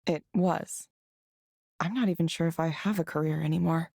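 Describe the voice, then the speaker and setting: A woman's voice: sits low, moderate pace, vocal fry, darkish tone, dry recording, clean studio sound. An actress playing a reserved, self-doubting woman in a visual novel, understated and a little hesitant. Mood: downbeat, reserved.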